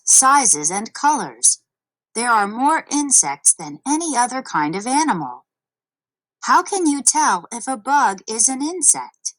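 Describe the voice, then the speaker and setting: A woman reading scripted educational narration for a children's read-along, with deliberate, careful enunciation. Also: fairly high pitch, fairly bright tone, rough recording. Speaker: narrator of an educational audio book.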